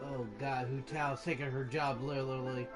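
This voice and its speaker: A woman giving casual, halting, amused gameplay commentary as a streamer. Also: extremely low pitch, level tone. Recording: game music behind the voice.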